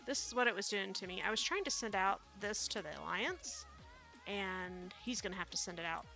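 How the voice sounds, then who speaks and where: A woman's voice: mid-range voice, a little fast, vocal fry, pushed and bright, soft background music. A woman with a Midwestern accent, chatting casually and rambling with many fillers while streaming a game. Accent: Midwestern American. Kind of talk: casual live-stream commentary.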